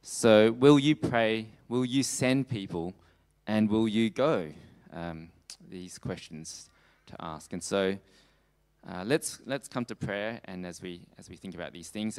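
Low, clear-voiced man preaching. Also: darkish tone. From Australia. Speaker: preacher giving a talk on world missions. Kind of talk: sermon with slides, closing in prayer.

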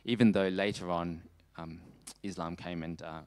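A man preaching a missions sermon in a halting way, often pausing mid-phrase. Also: low voice, fairly even intonation, a little mellow, slight background noise. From Australia. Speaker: preacher giving a talk on world missions. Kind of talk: sermon with slides, closing in prayer.

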